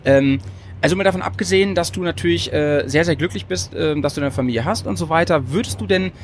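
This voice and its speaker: A man's voice: on the high side, quick pace. A man hosting a podcast, talking in a bubbly, rambling way with frequent laughs, recorded on a train. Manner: bubbly, giggly, rambling with fillers. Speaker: podcast host. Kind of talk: casual chat.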